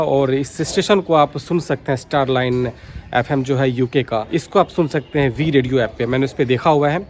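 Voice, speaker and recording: A man giving informal, explanatory vlog commentary, going back over his points as he speaks. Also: mid-range voice. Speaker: radio hobby vlogger. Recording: clearly noisy background.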